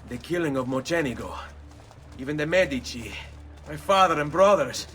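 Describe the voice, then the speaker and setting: An actor playing a determined young male assassin in a game cutscene, speaking in a low, intense way. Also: expressive, moderate pace, a bit bright, slight background noise. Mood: realizing. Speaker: game protagonist. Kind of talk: video game cutscene acting.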